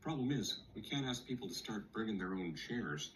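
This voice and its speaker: A man's voice: lowish voice, lively intonation, moderate pace, a little hiss or hum, close to an everyday voice, low-quality recording. An actor playing a chatty, encouraging man who organizes a conversation club, in a scripted listening-test dialogue.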